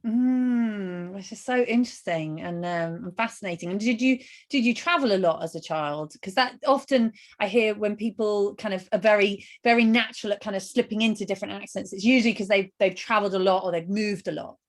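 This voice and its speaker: A woman's voice: medium pitch, brisk, few pauses. A woman hosting a webinar, chatting casually and asking questions with enthusiastic, encouraging reactions. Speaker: webinar host, interviewer. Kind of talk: casual interview chat.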